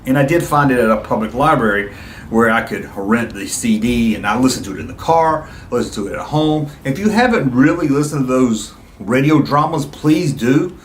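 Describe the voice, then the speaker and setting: Middle aged guy rambling excitedly about old memories, casual vlog, kinda echoey room. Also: medium pitch, normal speed, a little hiss or hum. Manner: enthusiastic, rambling, conversational. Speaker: YouTube video host. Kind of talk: casual nostalgic reminiscing to viewers.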